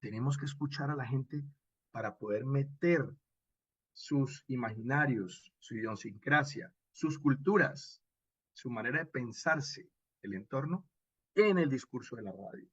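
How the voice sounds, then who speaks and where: Husky Colombian man teaching, dry sound. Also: mid-range voice, unhurried, no echo, dull audio. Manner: didactic and expressive, checks in with short rhetorical questions. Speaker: radio drama instructor. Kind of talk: animated workshop lecture with acted examples.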